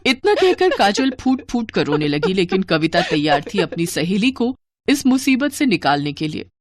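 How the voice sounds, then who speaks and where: A woman's voice: mid-range voice, very animated, moderate pace. A woman narrating a scripted moral story as a storyteller.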